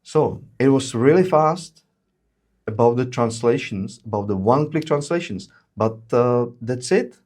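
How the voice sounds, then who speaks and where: A man's voice: mid-range voice, expressive, a little mellow. Male webinar presenter, methodical, filler-heavy. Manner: frequent "uh" fillers, measured explanatory delivery. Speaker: webinar presenter, solution engineer. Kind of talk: explaining product features in a webinar.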